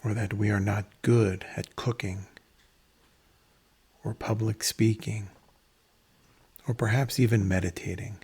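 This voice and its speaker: A man leading a guided meditation in a measured, instructional manner, pausing between phrases, with a breathy, husky voice. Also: fairly low pitch, steady tone, darkish tone.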